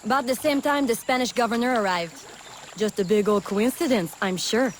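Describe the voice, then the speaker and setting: A woman acting a salty, sharp-tongued smuggler queen of the swamp for a video game. She is defiant and sarcastic, pitched fairly high, over faint outdoor noise. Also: normal speed, natural voice. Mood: wry, bossy.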